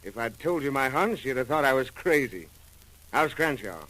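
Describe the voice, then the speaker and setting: An actor playing a confident American police detective in a 1940s radio drama, with slangy, clipped hard-boiled delivery. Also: male voice, mid-range voice, very animated, normal speed, a bit bright, low-quality recording. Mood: satisfied, explaining.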